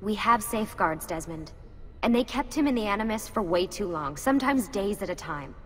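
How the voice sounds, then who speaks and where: An actress playing a composed, reassuring young team leader in a video game cutscene. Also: female voice, medium pitch, animated, brisk, fairly bright tone, slight background noise, lightly acted. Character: Lucy, composed, reassuring young woman leading operatives.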